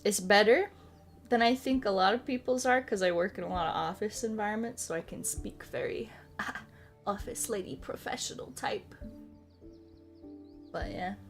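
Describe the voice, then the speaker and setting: Sleepy American woman chatting over music. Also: mid-range voice. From United States. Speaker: ASMR creator and live streamer. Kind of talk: casual chat while playing a puzzle game, reading and answering viewers' comments. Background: soft music.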